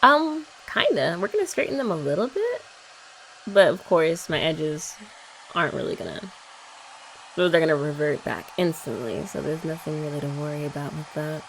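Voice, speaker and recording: Young woman, ASMR girlfriend roleplay, bouncy pitch, slight vocal fry, playful and teasing. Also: big pitch swings, normal speed, a little hiss or hum, low-quality recording. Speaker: ASMR creator.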